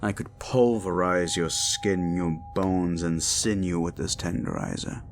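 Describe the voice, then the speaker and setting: A man playing a hulking sadistic slasher killer in a horror roleplay audio, gloating and menacing, savouring each pause and dropping into whispers. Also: low voice, fairly even intonation, a little hiss or hum. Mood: savouring, sinister.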